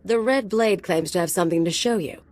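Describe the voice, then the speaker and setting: A woman's voice: on the low side, brisk, a little mellow, lightly acted. An actress playing a wry, streetwise female mercenary sidekick in a video game, casual in delivery.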